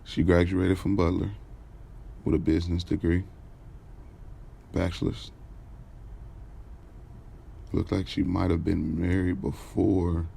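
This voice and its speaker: A man voicing an aloof, blunt, sensible male acquaintance in audio drama dialogue, measured and matter-of-fact. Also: low-pitched, little pitch movement, gravelly, dark tone, a little hiss or hum, heavily acted.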